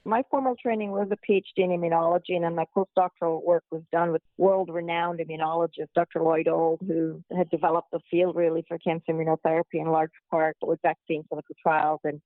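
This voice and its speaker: A woman from Guyana answering interview questions slowly and deliberately, heard over a tinny phone-like line. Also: lowish voice, fairly even intonation, no room sound. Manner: slow, measured and deliberate. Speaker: guest physician-scientist.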